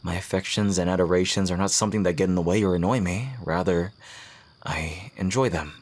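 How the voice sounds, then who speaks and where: A man playing a devoted fantasy rival confessing love in a romantic audio roleplay, speaking in an earnest, courtly, poetic way with soft hesitant pauses and a husky, breathy voice. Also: low-pitched. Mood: tender, devoted. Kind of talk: romantic ASMR audio roleplay, intimate acting.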